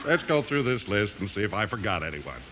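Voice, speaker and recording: An actor playing a jovial, doting fat husband who gets theatrically exasperated, in 1950s radio comedy style. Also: male voice, lowish voice, very animated, brisk. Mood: playfully exasperated. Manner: sugary endearments, theatrical exasperation. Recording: noisy 1950s radio broadcast recording.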